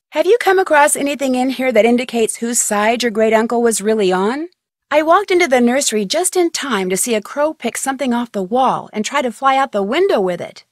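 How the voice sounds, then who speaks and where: A woman's voice: fairly high pitch, no echo, clean studio sound, natural voice. A woman playing a curious, polite young amateur detective in a video game, asking questions in an inquisitive way. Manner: inquisitive, clear-spoken questioning.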